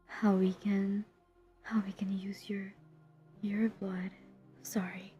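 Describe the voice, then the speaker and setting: A woman playing a centuries-old, guilt-ridden but determined vampire hunter in an intimate audio roleplay, speaking in a hushed, confessional, breathy voice that often drops to a whisper. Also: medium pitch, flat delivery, highs cut off, faint music behind, lightly acted. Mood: apologetic, persuading. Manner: hushed, confessional, halting, earnest. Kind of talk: intimate dramatic audio roleplay addressed to the listener.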